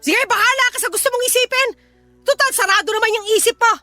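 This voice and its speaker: A very high, bright female voice plays a scolding older mother. She is blunt and exasperated, in Filipino radio drama style, with faint music behind her. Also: quick pace, no room sound. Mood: blunt, fed up.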